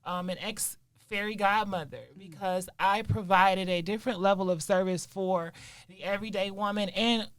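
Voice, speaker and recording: A woman's voice: mid-range voice, fairly even intonation, normal speed, no room sound. A woman from Detroit, a guest on a podcast, telling her story in a candid, emphatic way. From Detroit, Michigan. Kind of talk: casual podcast conversation.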